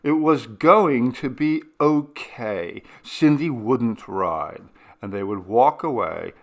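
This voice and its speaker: A man's voice: medium pitch, expressive, takes it slowly. A man narrating a ghost story as a scripted, dramatic audiobook reading.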